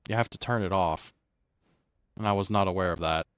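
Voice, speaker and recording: Man, very low voice, casual streamer chat, rambling, voice chat mic. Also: fairly quick, a bit bright, slight background noise. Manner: rambling, restarts sentences. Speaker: streamer host. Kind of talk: casual chat while gaming.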